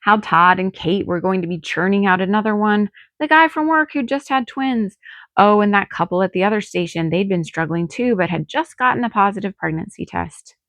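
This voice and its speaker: A woman's voice: medium pitch, fairly quick, fairly bright tone, dry recording. A woman narrating a first-person novel as an audiobook, reading expressively and acting out the drama. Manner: dramatic, acts out dialogue. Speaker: author reading own novel.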